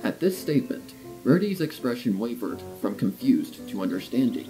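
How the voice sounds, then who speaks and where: A man reading scripted fan fiction as an expressive narrator telling a story. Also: mid-range voice, normal speed, rough recording. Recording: guitar music behind the voice.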